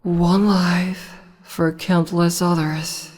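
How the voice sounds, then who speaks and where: A regal demon empress, played by a woman, purrs her taunts in a low, mellow voice with the odd whisper. She speaks very slowly and lingers on each word. Her voice trails off into a long reverb. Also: level tone. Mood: teasing. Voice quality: soft, slow and teasing, with whispered asides. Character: teasing female demon empress, regal and amused. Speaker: voice actor. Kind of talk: ASMR audio roleplay.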